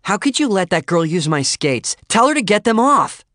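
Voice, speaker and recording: A man voicing a bossy, easily offended teenage girl who scolds and yells in an audiobook story. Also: medium pitch, animated, normal speed, no echo, clean studio sound, pitched above the performer's own voice, lightly acted. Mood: furious. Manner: yelling, scolding, indignant.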